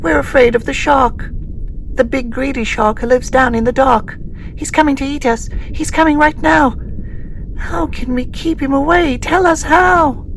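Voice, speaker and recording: A tiny, panicked storybook fish with a very high, shrill squeal. The voice is loud and frantic, with noise clearly behind it. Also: fairly even intonation, unhurried, full character voice, low-quality recording. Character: small terrified fishes, squealing and panicked. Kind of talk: children's storybook character voice.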